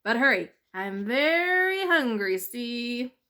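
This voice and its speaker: A woman's voice: a little high, sing-song, slow, pushed and bright, pitched above the performer's own voice, heavily acted. A woman voicing a hungry storybook weasel that talks in a nagging, old-time tough way. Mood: impatient. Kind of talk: children's storybook character voice.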